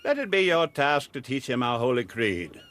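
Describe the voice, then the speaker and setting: An actor playing a solemn, commanding religious leader in a radio drama, with stately, oratorical delivery. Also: male voice, medium pitch, sing-song, moderate pace, a bit bright. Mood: solemn, stern. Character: solemn, commanding religious prophet leading a wagon train.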